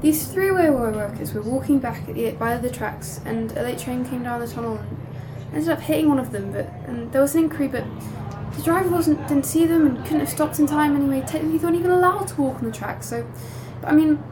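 A young railway worker with a high voice, played by a woman. She talks in an emphatic, conversational way, as in a community audio drama. Background noise is clearly audible. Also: fast, natural voice. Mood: casual. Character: young female railway station worker, chatty and sceptical. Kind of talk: amateur audio drama acting.

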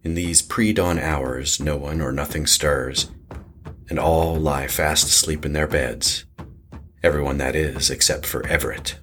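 A man giving scripted narration of a horror story in an ominous, measured manner. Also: very deep voice, fairly even intonation, a bit bright, slight background noise.

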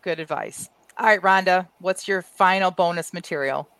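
A woman's voice: lowish voice, moderate pace, fairly bright tone, no echo. A woman hosting a podcast in casual chat, upbeat and encouraging. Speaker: podcast host.

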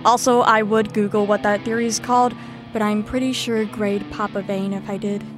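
A woman's voice: medium pitch, steady tone, moderate pace, over music, close to an everyday voice. An actress in an audio drama playing an exasperated, sardonic young woman who leads a group lesson in a weary, lecturing manner. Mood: dry, lecturing.

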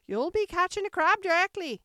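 A woman's voice: sits high, sing-song, measured pace, a bit bright, no room sound, clean studio sound, voice pushed up, heavily acted. A woman voicing a bossy, snappish female sheep from a storybook, curt and scolding. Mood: cross, barking. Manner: curt, scolding, barking commands. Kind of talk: storybook character voice.

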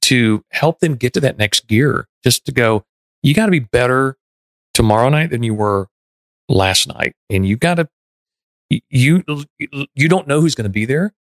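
A man's voice: medium pitch, animated, normal speed, fairly bright tone, dry recording. A middle-aged man from Texas, hosting a podcast in casual, earnest, reflective conversation. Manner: earnest, self-reflective, frequent restarts. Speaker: podcast host.

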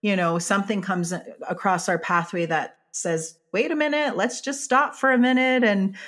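A woman's voice: mid-range voice, moderate pace. A woman hosting an interview, affirming her guest and sharing her own experience in a casual chat. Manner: warm affirming, lots of backchannels. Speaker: podcast host.

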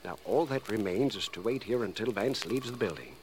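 A man acting in a 1940s radio drama as a cold, precise, self-assured criminal professor who speaks formally and deliberately. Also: on the low side, expressive, close to an everyday voice. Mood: controlled, watchful. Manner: deliberate, educated, formal wording. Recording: noisy vintage radio recording.

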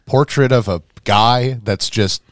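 Hoarse, low-voiced priest. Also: male voice, measured pace, no room sound, clean studio sound. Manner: rambling, storytelling with laughs. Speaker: priest and podcast co-host. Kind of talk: casual chat.

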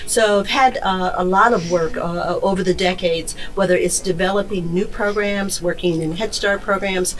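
A middle-aged or older American woman answering as a podcast guest, earnest and emphatic, with deliberate phrasing. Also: medium pitch, fairly even intonation, rough recording. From Detroit, United States. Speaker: podcast guest, nonprofit chief executive. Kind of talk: conversational interview answers.

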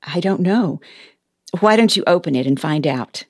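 A woman playing a patient, low-voiced elf boss. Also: expressive, dry recording, clean studio sound. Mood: gentle, knowing. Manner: patient, measured, gently wry. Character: patient, caring female elf personnel boss, a busy mother. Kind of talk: audio play acting.

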